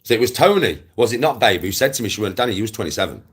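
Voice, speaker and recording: Male, 40s, English accent, rough husky low voice; emphatic, repetitive, foul-mouthed livestream rant. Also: sing-song, very fast. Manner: sweary, blunt, repeats himself emphatically. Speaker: live streamer. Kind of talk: ranting casual livestream chat.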